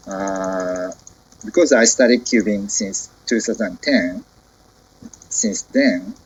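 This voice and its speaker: Japanese accent guy in his 20s, kinda husky low voice, careful, picks his words on a call. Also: low-quality recording. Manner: careful, halting, searching for words. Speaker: committee member. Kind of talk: self-introduction in a meeting. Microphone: video call.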